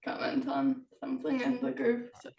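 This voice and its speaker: A woman chatting casually in a halting way, often trailing off mid-thought. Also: fairly high pitch, big pitch swings, mellow, a little hiss or hum. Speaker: author and interview host. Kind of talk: casual chat. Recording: echoey room, distant from mic.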